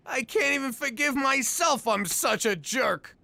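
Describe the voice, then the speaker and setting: A man's voice: extremely high pitch, expressive, measured pace, creaky, pushed and bright, no room sound, exaggerated voice. A man voicing a hapless, guilt-ridden mob man in a cartoon, with a mumbly, self-pitying, wisecracking way of talking. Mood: remorseful. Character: hapless, guilt-ridden middle-aged mob man, kicked out by his wife. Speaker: main character. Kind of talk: animated sitcom voice acting.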